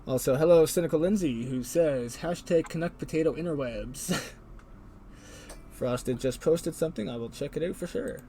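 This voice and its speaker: A man's voice: a little high, normal speed, dark tone, a little hiss or hum. A man hosting a casual livestream, reading the chat aloud in a joking way and laughing often. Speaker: stream host.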